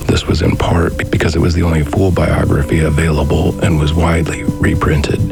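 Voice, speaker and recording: Very deep, gravelly male documentary narrator. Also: flat delivery, fairly quick, music in the background.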